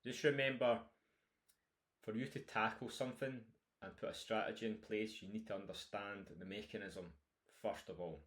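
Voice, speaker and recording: A man's voice: on the low side, fairly even intonation, normal speed, fairly bright tone. A Scottish man, a nutrition coach, talking informally through a recorded course introduction with many fillers and restarts.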